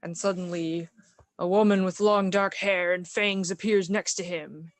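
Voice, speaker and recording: A woman narrating a group comic reading, describing scenes live in a halting way. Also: on the low side, steady tone, no echo.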